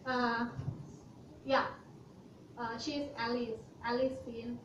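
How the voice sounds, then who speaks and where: A young woman from Indonesia speaks with a high voice and halting delivery, full of fillers and restarts. Her voice sits some way from the mic in an echoey hall, and the sound is muffled. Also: background noise. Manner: hesitant, many fillers and restarts. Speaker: student speaker. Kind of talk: presentation talk. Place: university hall. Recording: roomy, lo-fi sound from a distant mic.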